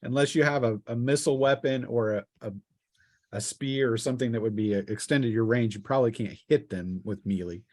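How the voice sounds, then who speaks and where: A man runs a tabletop role-playing game as game master. His voice is average in pitch and casual, moving between rules talk and narration. The sound is dry, with little room echo. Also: fairly quick, darkish tone.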